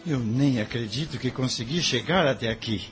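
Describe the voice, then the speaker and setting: A man's voice: medium pitch, moderate pace, soft background music, close to an everyday voice. A man playing a humble, hopeful young graduate in an audio drama. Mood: awed, happy.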